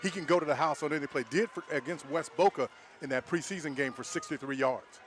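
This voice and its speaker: An American man with a somewhat high voice works as a football color analyst. He talks conversationally but with emphasis, breaking down the play in an opinionated way during a live broadcast. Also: fast talker, a little hiss or hum.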